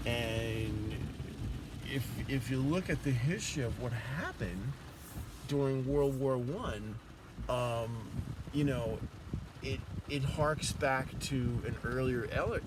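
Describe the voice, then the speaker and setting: A man rambling in a vlog monologue, halting often with fillers and restarts. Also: mid-range voice, noisy background. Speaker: vlogger.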